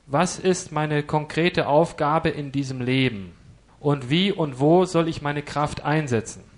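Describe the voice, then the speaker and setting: Man, interviewer, measured questions, fairly high voice.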